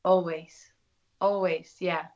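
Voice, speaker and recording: Lower-pitched South African woman, slightly muffled audio. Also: little pitch movement, takes it slowly. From Durban, South Africa. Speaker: interview guest, journalist. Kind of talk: conversational interview.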